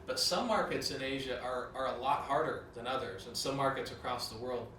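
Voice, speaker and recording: Man in a relaxed corporate briefing, filler-heavy; distant, roomy recording. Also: mid-range voice, a little fast. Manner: casual, many "um" and "you know" fillers. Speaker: company director. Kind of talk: corporate training talk. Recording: roomy, from off-mic, with clear noise.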